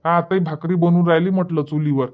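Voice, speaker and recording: Man as a high-voiced exasperated village husband. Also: fairly even intonation, fast, phone-quality sound, natural voice. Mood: scolding. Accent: Varhadi Marathi from Vidarbha. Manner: scolding, blunt. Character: exasperated village husband, protective of his sister. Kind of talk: dubbed cartoon comedy dialogue.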